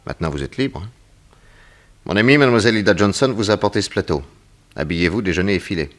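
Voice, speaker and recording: An actor playing a cool, shrewd private detective, offhand and assured, in a 1960s French radio crime drama. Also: male voice, lowish voice, fast talker, lightly acted. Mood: cool, curt.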